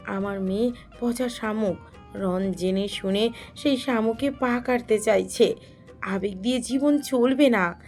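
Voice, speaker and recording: Woman playing distressed mother, audio drama, trembling, resolute, mid-pitched, soft guitar music behind. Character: distressed, protective middle-aged mother, resolute. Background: background music.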